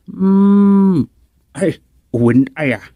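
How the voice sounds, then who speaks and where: A man playing a bashful village councilor in an Ilocano radio comedy, with an Ilocano accent and a halting, chuckling delivery. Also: sits high, lively intonation, mellow, heavily acted. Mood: bashful, amused. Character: laughing, bashful village councilor, giddy about marrying. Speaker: actor in radio comedy drama. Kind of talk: Filipino radio drama comedy acting.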